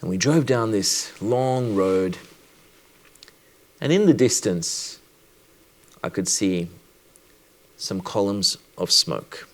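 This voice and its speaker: Deep-voiced, reflective male speaker. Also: animated. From Sri Lanka. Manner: reflective, measured, with deliberate pauses. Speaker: doctor giving a plenary talk. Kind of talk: personal conference talk with storytelling.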